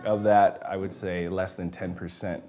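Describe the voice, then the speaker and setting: A man's voice: low voice, little pitch movement, normal speed, over music. A man whose first language is Samoan, an education director answering interview questions with frequent ums and uhs. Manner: hesitant, many uhs and ums.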